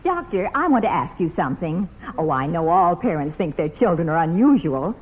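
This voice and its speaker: A woman's voice: on the low side, sing-song, a little fast, darkish tone, slightly rough, low-quality recording. An actress playing a doting new mother, gushing and tender, in old-time radio comedy style. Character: doting new mother cooing over baby.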